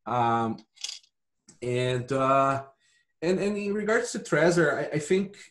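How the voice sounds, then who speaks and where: A man's voice: a little high, steady tone, takes it slowly, fairly bright tone, slight background noise. Matter-of-fact man explaining in an interview. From Brazil. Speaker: podcast guest, hardware company founder.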